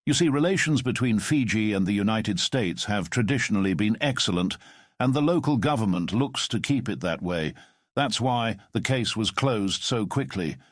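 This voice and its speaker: A man voicing a calm, commanding middle-aged former spy who speaks in a measured, formal way. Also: fairly low pitch, no room sound, clean studio sound. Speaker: storyteller. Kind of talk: audio drama storytelling.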